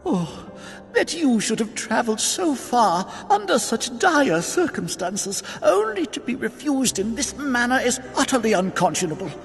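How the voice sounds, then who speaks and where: A proud fantasy noblewoman, florid and emphatic. Her voice swings widely in pitch and rings in long hall reverb over faint music. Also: big pitch swings, measured pace, creaky, hardly any pauses, heavily acted. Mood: indignant, apologetic. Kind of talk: dramatic fantasy game dialogue.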